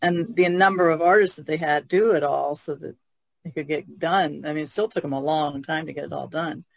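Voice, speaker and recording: Man on a podcast, kinda higher gravelly voice, thinks out loud, dry mic. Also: fairly even intonation, a little fast, dry recording. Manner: thoughtful, trailing off, laughs softly. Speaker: podcast guest artist. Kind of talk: casual discussion.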